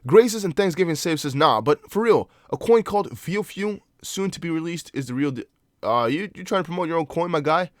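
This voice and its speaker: A man's voice: a little high, animated, brisk, no echo, clean studio sound. Young Dutch man streaming chart talk. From Netherlands. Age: young adult. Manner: loose and chatty, reads chat aloud, thinks out loud with many fillers. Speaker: crypto livestream host. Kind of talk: casual livestream chart analysis.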